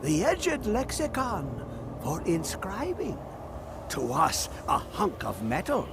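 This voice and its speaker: An actor playing a mad, rambling old hermit scholar in a fantasy video game, singsong, erratic and cryptic. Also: male voice, high-pitched, wildly swooping pitch, takes it slowly, full character voice. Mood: hushed, obsessive. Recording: clear background game ambience noise.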